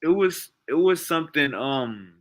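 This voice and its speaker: A man's voice: on the high side, animated, a little slow, fairly bright tone. A man in casual debate about scripture, pressing his guest with challenging rhetorical questions. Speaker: host.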